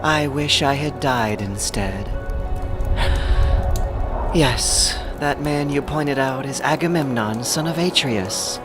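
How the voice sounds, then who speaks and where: A woman's voice: extremely low pitch, takes it slowly, music in the background. A woman playing a sorrowful, regretful noblewoman weighed down by shame in an epic audio drama. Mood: anguished, regretful. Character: sorrowful, ashamed noblewoman, regretful and self-reproaching.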